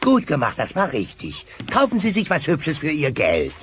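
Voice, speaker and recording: An actor playing a scheming, greedy dinosaur company boss in a children's audio drama, theatrical and condescending. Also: male voice, on the high side, huge pitch swings, moderate pace, a bit bright. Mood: falsely sympathetic. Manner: theatrical, mock-sympathetic, condescending. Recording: dull lo-fi cassette transfer.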